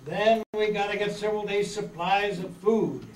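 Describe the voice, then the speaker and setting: An amateur actor playing a practical, take-charge leader of castaway children in a staged reading of an old radio adventure. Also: male voice, high voice, moderate pace, lightly acted. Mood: decisive. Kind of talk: 1930s children's radio adventure acting, read from script. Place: meeting room stage before seated audience. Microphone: far camera. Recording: roomy, distant camera mic, faint noise.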